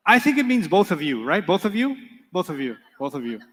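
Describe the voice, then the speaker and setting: A man whose first language is Urdu, teaching an Arabic class in a relaxed, joking manner and quizzing his students. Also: sits high, fairly quick, long reverb tail. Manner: playful, joking, quizzes students in call-and-response. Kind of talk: live classroom lecture with storytelling.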